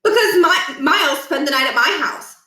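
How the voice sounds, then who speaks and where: A woman voices an earnest, polite schoolboy in a children's story, reasoning things out. The voice is bright and lively, high in pitch, with some room echo. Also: normal speed. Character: earnest, persuasive schoolboy, polite student helper.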